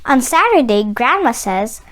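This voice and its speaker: A woman with a high-pitched voice reads a storybook aloud for children. She takes her time over each phrase, swinging widely up and down in pitch. Her delivery is deliberate and expressive. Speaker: children's read-aloud channel host. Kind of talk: slow, expressive picture-book read-aloud for children.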